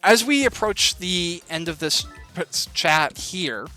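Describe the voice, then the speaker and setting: Bright-voiced man hosting a casual interview. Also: high-pitched, expressive, measured pace, faint music behind. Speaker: interview host and author.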